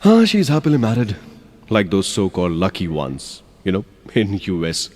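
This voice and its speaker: A hesitant, halting young man with an Indian English accent, as an actor plays him in an audio drama. His voice is husky and low, and it rises and falls a lot. A long reverb and faint music sit behind him. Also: huge pitch swings, darkish tone. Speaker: young man in a radio play.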